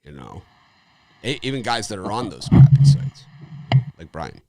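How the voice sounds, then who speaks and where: A man's voice: on the low side, very animated, moderate pace, warm tone. A man hosting a live sports betting show, chatting casually and rambling a little, with restarts and short laughs. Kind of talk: casual live-stream chat.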